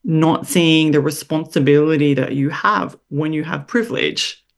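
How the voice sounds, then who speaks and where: A woman hosting a podcast interview, speaking in a reflective, measured way. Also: low voice, gravelly. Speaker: podcast host. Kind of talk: interview conversation.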